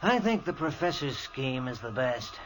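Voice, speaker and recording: An actor playing a blunt, matter-of-fact helper in a 1940s radio drama. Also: male voice, mid-range voice, very animated, moderate pace, a bit bright. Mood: tense. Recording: old radio recording with clear hiss.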